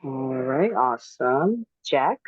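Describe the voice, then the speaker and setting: A woman's voice: extremely low pitch, animated, takes it slowly, slightly rough, no room sound, highs cut off. A woman hosting a dating show, calling on guests one by one with short cheerful acknowledgements.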